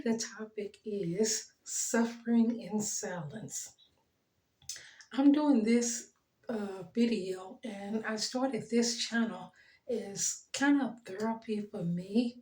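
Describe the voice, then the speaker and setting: A middle-aged woman talking earnestly to her viewers, her voice worn and fading, pausing often. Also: medium pitch, roomy sound, poor audio. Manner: earnest, gentle, pauses with ums and soft laughs. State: losing her voice. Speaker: channel host. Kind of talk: heartfelt motivational talk to camera.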